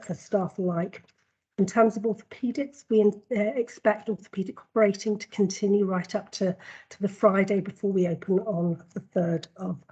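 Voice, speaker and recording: A woman with a cold speaks in a husky, breathy voice, giving a formal report at a board meeting. She talks in a measured way, with many ums and restarts. The audio is dull and lo-fi. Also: medium pitch, warm tone. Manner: measured, frequent ums and repeated words. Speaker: health board executive presenting a report. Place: board meeting, video call.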